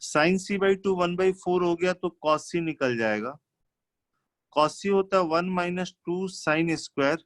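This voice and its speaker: A man's voice: on the high side, fairly bright tone, dry recording. A man teaching maths live, working through problems step by step in an instructional way and mixing in English technical terms. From India.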